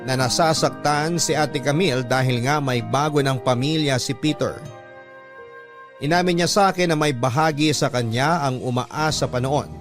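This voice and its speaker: A man's voice: fairly high pitch, lightly acted. A man narrating a sentimental radio love story as a devoted, protective younger sibling, earnest and reflective. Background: music under the voice.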